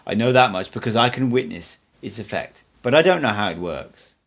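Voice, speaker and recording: An unruffled male mystic teacher, as a man reads him in an audiobook, speaks in cryptic pronouncements. The voice is on the low side, instructive and gently mocking. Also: animated, brisk. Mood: calm, explaining. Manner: declarative, instructive, teasing. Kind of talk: audiobook character dialogue.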